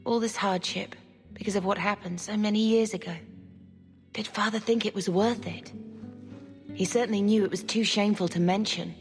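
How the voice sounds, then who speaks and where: An actress playing a reflective, grieving younger sister, a mage in a fantasy game, speaking wistfully. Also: female voice, medium pitch, steady tone, moderate pace, natural voice. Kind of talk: fantasy game cutscene acting. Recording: hall reverb, music behind.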